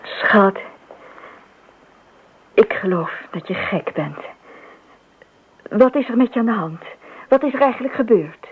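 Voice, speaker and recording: A woman's voice: on the high side, animated, unhurried, pauses often, slightly rough, exaggerated voice. An actress playing a concerned, practical woman neighbour in a radio play. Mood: worried, insistent. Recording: muffled phone-like old radio recording.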